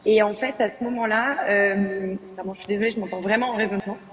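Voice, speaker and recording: A young woman cofounder joining a panel by video call, telling her story in a lively, chatty way. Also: mid-range voice, brisk. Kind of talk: panel talk, personal story.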